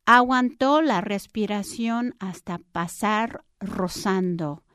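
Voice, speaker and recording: Woman in her sixties, poet reading aloud, unhurried, dry close sound. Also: medium pitch, a bit bright, clear, resonant tone, dry recording. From Chicago, USA. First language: English. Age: 60s. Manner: slow, deliberate poetry reading. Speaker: poet guest.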